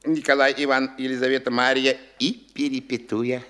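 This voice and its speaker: An actor playing a sly, obsequious provincial official in a radio play, flowery and ingratiating. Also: male voice, medium pitch, flat delivery, normal speed, a bit bright, close to an everyday voice. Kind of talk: classic radio theatre acting.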